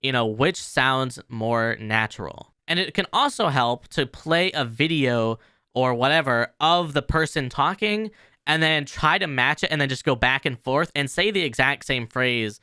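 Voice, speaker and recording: A man hosting a casual software tutorial. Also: medium pitch, animated, measured pace, smooth, no echo, clean studio sound. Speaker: tutorial host.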